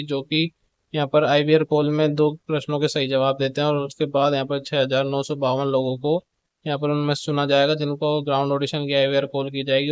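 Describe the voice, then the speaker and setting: Flat-toned man explaining updates, higher-pitched voice. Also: clean tone, no echo, dull audio. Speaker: video presenter giving show updates. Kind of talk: informal explainer narration.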